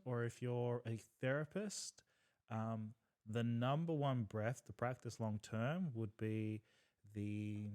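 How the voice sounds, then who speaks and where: Brief: male breathwork facilitator, podcast co-host, earnest and instructive, mid pitch. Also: a little mellow. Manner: earnest, instructive, frequent fillers. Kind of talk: explanatory podcast talk.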